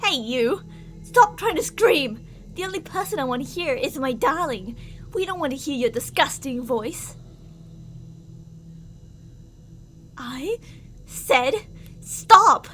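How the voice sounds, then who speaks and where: A woman voicing an obsessive, possessive young woman who holds her beloved captive, cooing sweetly with menace and snapping into shouts. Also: sits high, expressive, moderate pace, faint music behind. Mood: shouting. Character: obsessive possessive female yandere captor. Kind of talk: audio roleplay drama.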